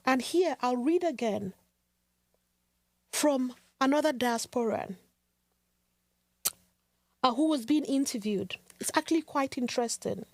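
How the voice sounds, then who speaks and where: A woman hosting a podcast, giving an earnest, measured and rhetorical monologue. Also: high voice, no room sound. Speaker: podcast host.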